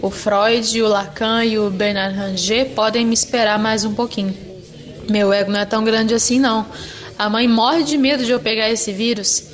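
Woman playing a worried young student, highish voice. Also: steady tone, moderate pace, lightly acted. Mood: wry, resigned.

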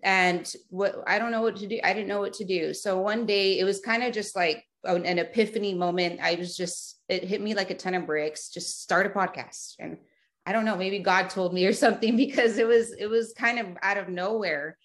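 A woman's voice: medium pitch, fairly even intonation, normal speed. A woman in her thirties from South Texas, a podcast guest talking in a chatty way with many fillers and restarts. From Rio Grande Valley, South Texas. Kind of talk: casual remote interview.

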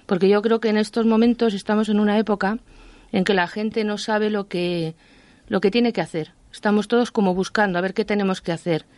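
A woman's voice: medium pitch, fairly even intonation, fairly quick, a little mellow, dry recording. A woman from Madrid with a Madrid accent, a guest author chatting in a radio interview and acting out the voices she quotes. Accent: Madrid Spanish. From Madrid, Spain.

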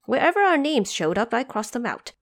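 A woman voicing a boastful, animated male monkey immortal in an audio drama. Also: a little high, fairly quick, pitched above the performer's own voice. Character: Monkey King, boastful male monkey immortal recounting his exploit.

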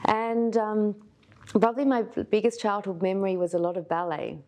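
A woman in her thirties from Australia, a yoga teacher telling her life story as a podcast guest in a thoughtful, unhurried way. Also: medium pitch, fairly even intonation, mellow, highs cut off. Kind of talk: reflective storytelling interview.

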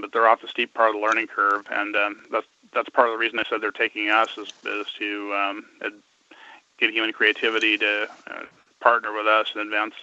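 A man in his fifties, a guest on a radio interview, talking haltingly with many fillers and restarts. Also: fairly low pitch, gravelly, pushed and bright. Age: 50s. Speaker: guest researcher. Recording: dull lo-fi radio sound.

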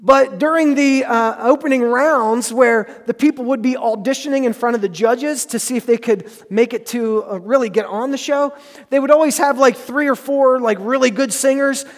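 A man's voice: very high voice, moderate pace. A man preaching a sermon as pastor, earnest and emphatic, repeating phrases for stress. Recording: preached in a hall with long reverb.

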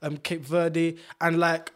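A man's voice: fairly high pitch, level tone, slow pace, fairly bright tone. A young man from the UK, talking about family and migration in a casual, earnest and reflective way. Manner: earnest, reflective, trails off and restarts. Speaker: podcast guest. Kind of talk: casual chat.

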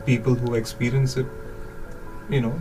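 A man from India whose first language is Hindi, hosting a podcast interview and asking halting, soft-spoken questions. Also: medium pitch, flat delivery. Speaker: podcast host. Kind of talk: interview conversation. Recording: distant mic, music behind.

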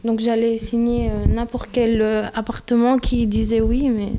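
A woman's voice: fairly high pitch, flat delivery, moderate pace, warm tone. A woman in her twenties from Belgium, talking candidly and a little hesitantly in a casual conversation, with frequent fillers. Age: 20s. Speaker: guest.

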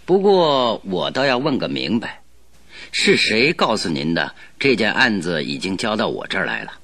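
An actor playing a calm, polite, guarded detective in a radio drama. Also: male voice, on the low side, normal speed, no room sound, exaggerated voice.

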